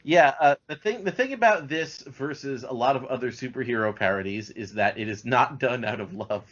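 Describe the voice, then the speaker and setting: A man's voice: mid-range voice, normal speed, fairly bright tone. A man appearing as a podcast guest, talking casually about cartoons in dull, lo-fi audio.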